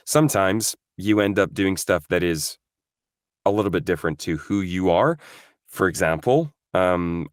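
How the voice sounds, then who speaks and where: Man from Norway, voice actor guest, very low pitch, dry close sound, hesitant and thoughtful. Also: no echo. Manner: thoughtful, many fillers and restarts. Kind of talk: casual interview chat.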